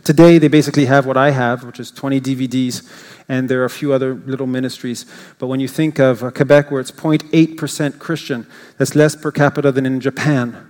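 An older English Canadian man talks to a congregation in a loose, chatty way, often restarting his sentences and filling gaps with "uh" and "um." His voice sits at an ordinary pitch for a man. A long reverb trails behind him in the church hall. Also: fairly even intonation, fairly quick, hardly any pauses, darkish tone. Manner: chatty, rambling, with frequent restarts and fillers. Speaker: guest speaker. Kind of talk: informal church talk.

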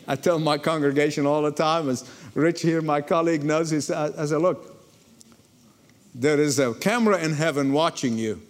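A man from Egypt, preaching a sermon to a congregation in lively storytelling, often repeating words as he starts a sentence. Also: fairly high pitch, moderate pace. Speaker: pastor. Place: church before a congregation.

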